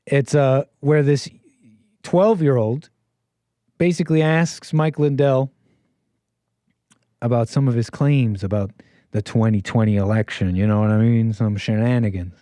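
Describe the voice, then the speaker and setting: A man's voice: mid-range voice, measured pace, long pauses, dark tone, dry recording, clean studio sound. An American man hosting a call-in show, rambling casually with lots of restarts and laughs.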